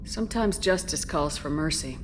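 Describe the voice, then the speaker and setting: A woman's voice: low voice, lively intonation, normal speed, background noise. An actress playing a composed, direct female commander in a sci-fi video game, speaking plainly and probingly. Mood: curious, composed. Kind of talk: dramatic game dialogue.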